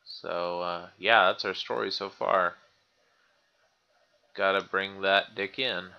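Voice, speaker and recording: A man's voice: low voice, fairly even intonation, slow pace, creaky, clear, resonant tone, highs cut off. A man hosting a let's play, chatting informally in casual gameplay commentary.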